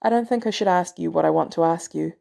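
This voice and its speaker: A woman's voice: fairly low pitch, fast, creaky, dark tone. A woman voicing a weary, wry, guarded retired male agent in an audiobook story, with a hesitant, sardonic way of talking. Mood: reluctant, hesitant.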